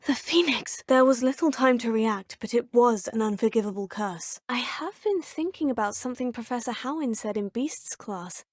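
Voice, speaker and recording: A woman's voice: a little high, moderate pace, few pauses, dry recording, studio quality, natural voice. Woman voicing a polite, proper young British witch. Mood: earnest. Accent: British English. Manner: polite, proper, crisp phrasing. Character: young female witch student, curious and polite. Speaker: player character. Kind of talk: video game voice lines. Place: recording studio.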